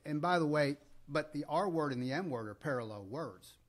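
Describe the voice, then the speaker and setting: A man's voice: fairly high pitch, expressive, moderate pace. A man co-hosting a podcast, chatting casually about politics and sports in a conversational, joking way. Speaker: podcast co-host.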